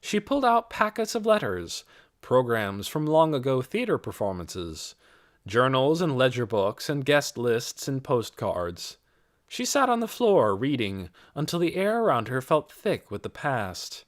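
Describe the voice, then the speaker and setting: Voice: medium pitch, sing-song, measured pace. A narrator reading a novel aloud as scripted audiobook narration.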